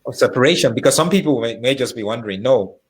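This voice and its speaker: A man's voice: medium pitch, lively intonation, fast talker, husky. A man hosting an interview who asks long, rambling questions. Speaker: host.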